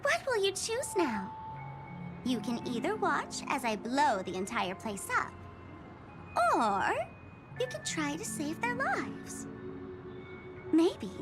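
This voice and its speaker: A woman's voice: high-pitched, huge pitch swings, takes it slowly, pauses often, heavily acted. A woman playing a playful, sadistic young female bomber villain in a video game, with mocking, theatrical delivery. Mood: taunting. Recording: music under the voice. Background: background music.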